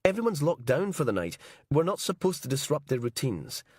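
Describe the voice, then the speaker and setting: A man's voice: a little high, big pitch swings, a little fast, darkish tone, slightly rough, no room sound, studio quality, pitched above the performer's own voice. A man reading a curt, businesslike woman answering the phone late at night. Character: brisk woman on a prison phone line.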